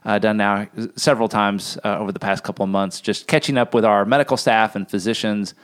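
A middle-aged man hosts an interview in a studio, his voice low and unhurried by default. He stays friendly but halting, leaning on filler sounds between phrases. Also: steady tone, clear, resonant tone. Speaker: host, health system CEO. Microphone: studio mic.

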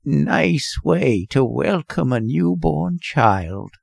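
A man's voice: a little high, big pitch swings, creaky, a little mellow, dry recording, studio quality, heavily acted. A man voicing a weary, querulous old man in an audiobook story, with a cracked, weak, whining voice. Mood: weary, complaining. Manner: querulous, slow, complaining.

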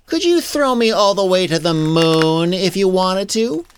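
A man's voice: high voice, expressive, unhurried, slight background noise. A man voicing a bewildered, innocent young boy in a children's story, exclaiming and asking questions. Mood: excited. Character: wide-eyed, bewildered young boy at summer camp.

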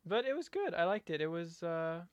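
A man's voice: on the high side, very animated, normal speed, clean tone, no room sound. A man co-hosting a fiction podcast, talking casually and hesitantly with frequent fillers. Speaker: podcast co-host. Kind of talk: casual chat.

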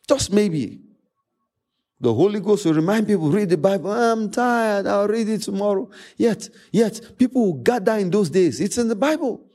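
Male pastor, Nigerian accent, Yoruba native. High-pitched, swooping delivery; emphatic sermon with repeated phrases and dramatic pauses. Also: animated, dark tone. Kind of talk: preaching a sermon.

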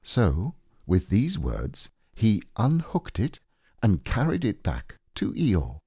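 A man's voice: lowish voice, wildly swooping pitch, slow pace, mellow, slightly rough, dry recording, clean studio sound. A man narrating a children's story expressively as an audiobook reader.

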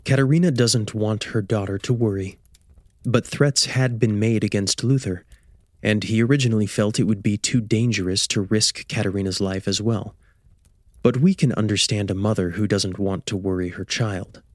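A man's voice: lowish voice, moderate pace, no echo, studio quality. A man reading scripted radio drama narration in a storytelling manner. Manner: storytelling, posing rhetorical questions. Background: soft plucked guitar music.

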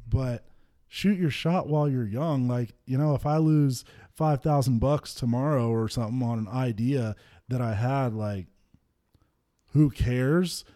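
A man's voice: medium pitch, unhurried, mellow. A man in his twenties, a football player co-hosting a podcast, chatting casually and telling stories with easy laughter. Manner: laid-back, storytelling, laughs easily. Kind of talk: casual chat.

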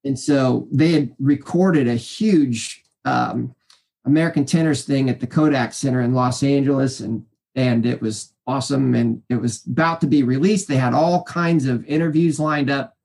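A man in his forties with a naturally high voice, rambling cheerfully through stories as an interview guest, full of fillers and restarts. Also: steady tone, normal speed, vocal fry, darkish tone. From Kansas City area, US. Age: 40s. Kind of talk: casual interview storytelling.

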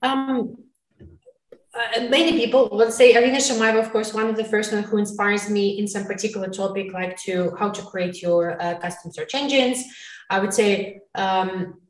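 A woman answering casually as an interview guest, halting as she searches for words, with non-native phrasing. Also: mid-range voice, steady tone. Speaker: interview guest, recruiting sourcer. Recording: roomy, echoey, distant mic.

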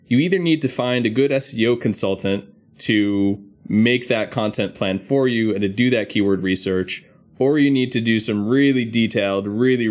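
A man hosting a podcast, explaining things in a structured, conversational way. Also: lowish voice. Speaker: podcast host.

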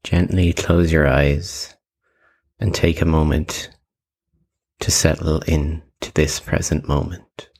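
A man leading a guided meditation with calm, measured instructions and long pauses between phrases. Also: a bass voice, breathy edge.

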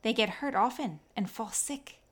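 An earnest American machinist lectures like a tour guide, read by a woman. His voice sits a bit high. He explains patiently, as if showing visitors around. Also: moderate pace, a bit bright.

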